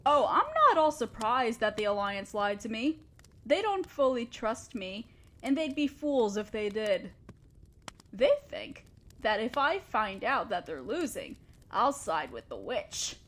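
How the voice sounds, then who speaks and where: A woman's voice: fairly high pitch, big pitch swings, measured pace, fairly bright tone, heavily acted. A woman acting a haughty, ruthless evil queen with an imperious, theatrical manner, speaking to a subordinate in a scripted fantasy audio roleplay. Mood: bitter, brooding. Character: haughty, ruthless evil sorceress queen fretting over her threatened empire.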